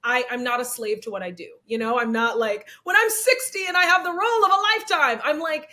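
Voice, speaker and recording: A young woman with a slightly raspy, fairly high voice talks openly in an interview. She is animated and breaks into laughter often. She acts out little quoted bits as she goes. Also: brisk. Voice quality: slightly raspy, low-set voice. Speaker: actress and comedian, interview guest. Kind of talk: candid interview conversation.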